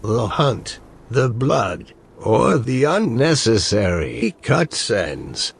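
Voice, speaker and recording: Weary old hunter mentor played by a man, gravelly, swooping pitch, theatrical, cryptic, game parody. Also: sing-song, full character voice. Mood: solemn. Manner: slow, theatrical, drawn-out phrasing.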